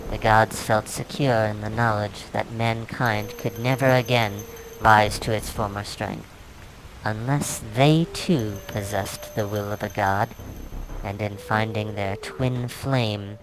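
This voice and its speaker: A man reading his own fantasy novel aloud as a dramatic, solemn, measured narration. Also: on the low side, steady tone, noisy background. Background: music soundtrack.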